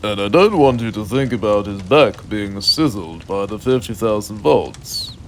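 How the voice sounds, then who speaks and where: British storytelling man with very lively intonation. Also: fairly low pitch, measured pace, a little hiss or hum. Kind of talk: mock bedtime story narration.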